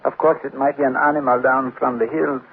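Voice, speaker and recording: A man's voice: mid-range voice, level tone, brisk, natural voice. An actor playing a polite, rambling, apologetic male neighbor in 1940s radio drama style. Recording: tinny, faintly noisy 1940s radio recording.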